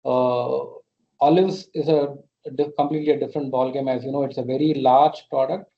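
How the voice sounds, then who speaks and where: A man's voice: medium pitch, fairly even intonation, brisk, vocal fry. A man with an Indian accent answering questions as a company executive on an earnings call, hesitant and often repeating words as he explains. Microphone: conference call connection. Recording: muffled, band-limited conference call audio.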